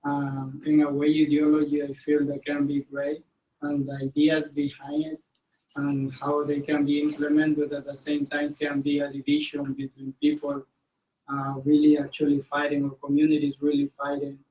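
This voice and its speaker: A man from Honduras giving a comment from the floor as an audience member, talking haltingly and searching for words. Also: a little high, steady tone, phone-quality sound. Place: university classroom. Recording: echoey room, distant mic, faint noise.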